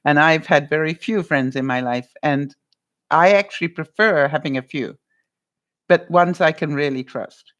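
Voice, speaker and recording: A woman vlogger chatting casually on a livestream, answering viewers by name, lively and quick to laugh, with a loud voice that carries. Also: low-pitched. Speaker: vlogger answering live chat.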